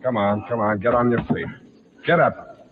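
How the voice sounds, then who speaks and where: An actor playing a cocky, sardonic private detective in 1940s radio drama style. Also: male voice, mid-range voice, normal speed, natural voice. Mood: hard, pressing. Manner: sardonic, taunting, wisecracking. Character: hard-boiled male private detective, wisecracking and cocky. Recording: dull vintage radio recording, crowd-like noise behind.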